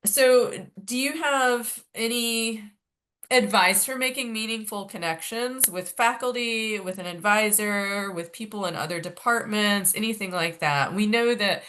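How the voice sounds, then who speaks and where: A woman's voice: mid-range voice, unhurried, fairly bright tone. A woman with a head cold, sniffling as she hosts a casual virtual orientation panel, talking loosely with many fillers over a video call. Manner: chatty, rambling, many fillers. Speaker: orientation host, graduate life staff.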